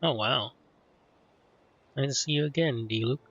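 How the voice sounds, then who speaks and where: A man's voice: medium pitch, a little slow, breathy edge, muffled recording. A man giving casual commentary as he plays through a video game. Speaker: let's play gamer. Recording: loud background noise and game audio. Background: video game sound, noise.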